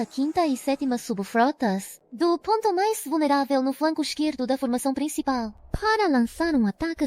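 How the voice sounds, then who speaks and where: A woman voicing a stern, calculating female sect leader in a dubbed motion comic, measured and commanding. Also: sits high, darkish tone, dry recording. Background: background music.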